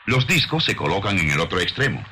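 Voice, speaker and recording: A man narrating a scripted science documentary in a measured, formal, didactic manner on an old soundtrack. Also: low voice, sing-song, pushed and bright, a little hiss or hum.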